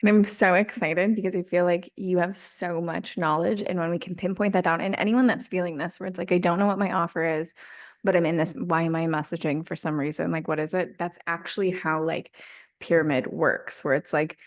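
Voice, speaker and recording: A woman's voice: fairly low pitch, steady tone, a little fast. A woman hosts a group coaching call over a video link. She talks in a bubbly, effusive way, full of casual fillers, as she welcomes members and explains the program.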